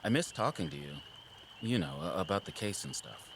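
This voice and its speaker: An actor playing an earnest, caring male wildlife surveyor in an audio drama, casual, sincere and expressive. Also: low voice, a little fast, background noise. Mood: tender. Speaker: habitat surveyor.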